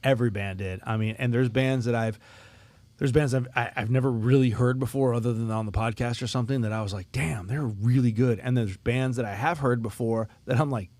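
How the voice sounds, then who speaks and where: Male podcast host, casual and animated, mid pitch, close dry studio sound. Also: a little fast, no echo. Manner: animated storyteller, acts out quotes. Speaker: podcast host and festival organizer. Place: small studio.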